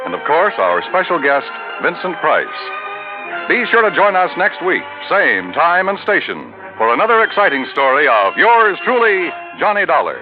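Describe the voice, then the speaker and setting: Male radio announcer, 1950s style, highly animated, fairly high voice over music, muffled archival sound. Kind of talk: 1950s broadcast announcing, scripted. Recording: dull old radio broadcast.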